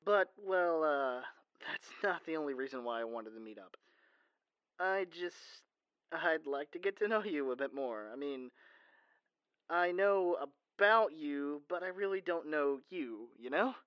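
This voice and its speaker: A man's voice: on the high side, expressive, unhurried, smooth, dry recording, dull audio. A man playing a caring, modest, socially awkward superhero in a conversational audio roleplay, speaking casually and hesitantly. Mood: shy, hesitant.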